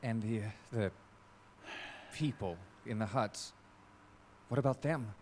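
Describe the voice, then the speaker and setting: An actor playing a wary, shaken gentleman in a live audio drama, hesitant and halting. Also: mid-range voice, lightly acted. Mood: wary, grave. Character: wary, shaken gentleman guest of a mad scientist. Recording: live stage recording, faint room noise.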